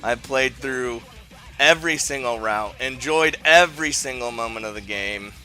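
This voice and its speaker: A man with a bright, forward voice and a middling pitch hosts a casual list-style game review. He talks informally and enthusiastically, wandering through his thoughts. Faint music plays underneath. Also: normal speed. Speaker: video game reviewer and host. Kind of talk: casual top-five list commentary.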